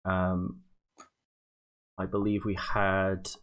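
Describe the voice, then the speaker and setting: Male stream host, young adult, fairly low pitch, informal and halting, making unscripted announcements and chat. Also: monotone. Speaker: charity livestream host. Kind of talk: casual livestream announcements and chat. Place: indoor home streaming setup.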